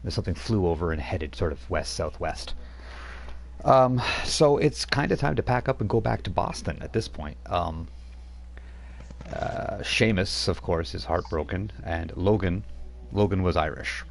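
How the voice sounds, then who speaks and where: A man running a tabletop game, recapping the last session in a loose, relaxed way with many fillers. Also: low voice, unhurried, creaky, slight background noise. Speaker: game master.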